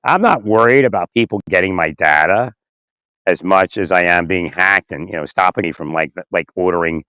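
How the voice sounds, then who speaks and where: A man with a slightly hoarse, raspy voice talking in a rambling, halting way, repeating words, chuckling often and asking "right?" as he speaks into his phone outdoors. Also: deep voice, bright, forceful tone, no room sound, poor audio. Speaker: vlogger. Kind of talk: rambling casual monologue. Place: outdoors in winter.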